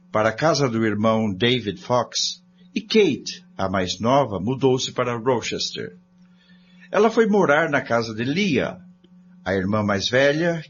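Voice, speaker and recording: Animated Brazilian male narrator. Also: medium pitch. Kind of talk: scripted radio drama narration addressed to listeners. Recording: dull lo-fi audio with background noise.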